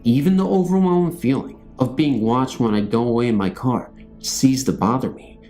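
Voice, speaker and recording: A man's voice: mid-range voice, moderate pace, dark tone, soft background music. A man reading a scripted first-person ghost story as a narrator. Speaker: narrator of true ghost stories.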